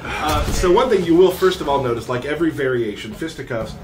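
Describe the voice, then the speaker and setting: A man's voice: fairly high pitch, lively intonation, fairly quick, far from the mic. Man casually presenting a game, faint music. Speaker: presenter hosting a game demo.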